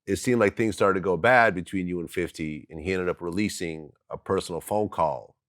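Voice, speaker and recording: A man working as an interviewer, asking questions in a casual, plain-spoken and somewhat halting way. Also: sits low, fairly bright tone, no room sound, studio quality.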